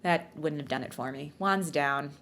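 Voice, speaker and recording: A woman's voice: sits low, moderate pace, creaky, fairly bright tone. A woman co-hosting a podcast in casual, comedic chat with a playful, bawdy way of joking. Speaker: podcast co-host.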